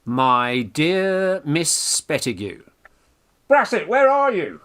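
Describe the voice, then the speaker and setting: Man as lovestruck young English student schemer for radio farce: bright, forceful, exclamatory, bossy, swooping in pitch. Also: huge pitch swings, takes it slowly, heavily acted. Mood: bossy, hurried. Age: young adult. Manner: exclamatory, bossy, jolly old-fashioned slang. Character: brash, scheming young Victorian Oxford undergraduate in love. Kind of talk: theatrical radio farce acting.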